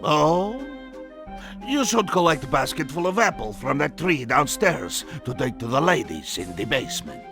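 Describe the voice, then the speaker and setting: A man playing an eccentric, theatrical showman neighbor in a video game, gravelly voice and clipped broken English. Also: fairly high pitch, very animated, normal speed, over music. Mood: hearty. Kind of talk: video game cutscene voice acting with tutorial instructions.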